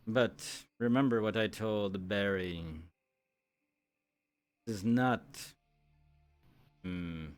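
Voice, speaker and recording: A man acts out a stern supervisor of fantasy adventurers in an online roleplay. The voice is smooth and resonant, and he talks very deliberately and formally, leaving slow, weighty pauses. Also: deep voice. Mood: stern, blunt. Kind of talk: improvised fantasy roleplay in character.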